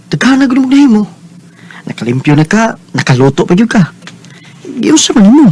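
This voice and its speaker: A man's voice: high-pitched, sing-song, moderate pace, a little mellow, breathy edge, heavily acted. An actor playing a kind, attentive man in a radio drama, speaking expressively and conversationally with a Cebuano Filipino accent. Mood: curious, impressed. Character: Robin, kind, attentive man hosting and admiring a woman friend.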